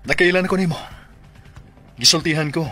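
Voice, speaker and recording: A determined young village man in radio drama, highish forceful voice, music behind. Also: moderate pace. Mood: defiant. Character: defiant young village man bent on ending an overlord's evil.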